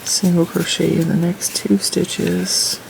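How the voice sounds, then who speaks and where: A woman with a stuffy, congested nose talks casually while she crochets and counts off her stitches and rows. Her voice has a gravelly fry, and a faint hiss of noise sits behind it. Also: on the low side, flat delivery, slow pace, a little mellow, poor audio. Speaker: crochet tutorial host. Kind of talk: casual crochet tutorial with chat.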